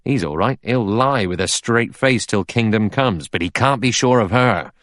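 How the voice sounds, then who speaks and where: A man's voice: fairly low pitch, normal speed, a bit bright, no echo, clean studio sound, voice pushed up, natural voice. A man voicing a blunt, aggressive, suspicious detective in an audiobook, arguing in pushy, colloquial bursts. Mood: insistent. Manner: pushy, colloquial, emphatic argument. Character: blunt, aggressive middle-aged male detective, suspicious and cocksure.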